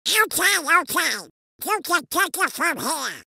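A man's voice: very high-pitched, big pitch swings, unhurried, vocal fry, bright, forceful tone, no echo, studio quality, exaggerated voice. A man voicing a hot-tempered, put-upon male cartoon duck with squawky, garbled quacking speech in a comic dub. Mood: grudging.

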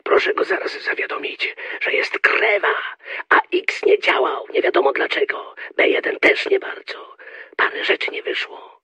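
An actor playing a gruff, agitated conspirator in a radio drama, raspy and clipped, through a telephone filter. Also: male voice, moderate pace, sharp tone. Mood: businesslike. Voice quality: very raspy, gravelly.

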